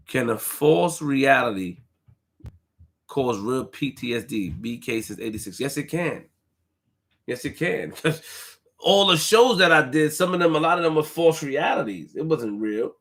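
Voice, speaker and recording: A man hosting a casual livestream, shouting out viewers, reading donations and repeating phrases to his chat. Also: mid-range voice. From Haitian family roots. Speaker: livestream host.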